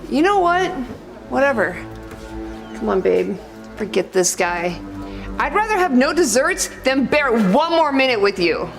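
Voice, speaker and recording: An actress playing an assertive young bride-to-be customer in a moralistic web drama. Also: female voice, sits high, sing-song, a little slow, exaggerated voice. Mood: indignant. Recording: music clearly under dialogue.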